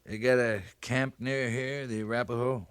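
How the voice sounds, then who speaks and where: A man's voice: medium pitch, fairly even intonation, moderate pace, clean tone, dry recording, lightly acted. A man playing a terse, courteous but firm American cavalry captain in a 1950s radio western. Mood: courteous, probing. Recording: vintage 1950s radio recording.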